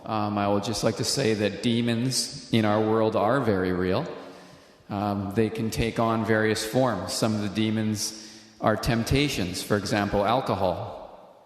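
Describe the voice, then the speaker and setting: Halting male call-in host in echoey room. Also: on the low side, steady tone. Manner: halting, hesitant, earnest delivery. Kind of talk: live religious call-in talk.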